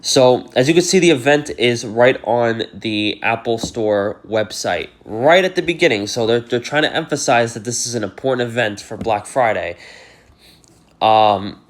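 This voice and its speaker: A man's voice: medium pitch, moderate pace. Congested man chatting about gadgets. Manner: rambling, lots of fillers like "uh" and "you know". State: congested from a cold. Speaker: tech YouTuber. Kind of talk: casual talk to camera.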